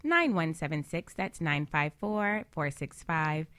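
Female financial advisor, bright forceful voice, emphatic coaching delivery in panel conversation. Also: low voice, sing-song, a little slow, clean tone. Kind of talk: lively panel talk on money habits.

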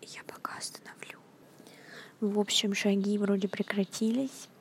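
A woman's voice: medium pitch, level tone, moderate pace. A young woman hosting her own podcast, rambling hesitantly with many fillers and restarts, often half-whispering into her phone at work. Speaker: podcast host. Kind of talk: casual rambling monologue. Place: at her workplace, indoors.

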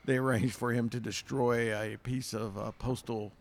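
A man from Kentucky co-hosting a casual chat, telling an anecdote with quick animated asides. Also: medium pitch.

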